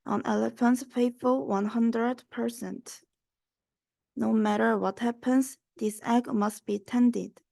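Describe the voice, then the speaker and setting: A woman's voice: fairly high pitch, steady tone, slow, creaky, mellow, no echo. A young woman reading a children's rhyming story aloud. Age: young adult. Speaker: student reader.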